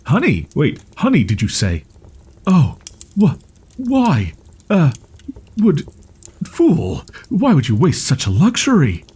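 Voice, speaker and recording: A man voicing a shy, proud young wolf-boy in an audio roleplay, speaking in archaic formal phrases with halting, flustered stammers. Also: on the high side, huge pitch swings, a little mellow, husky, full character voice. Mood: flustered, reluctant. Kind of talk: audio roleplay, character acting, ASMR.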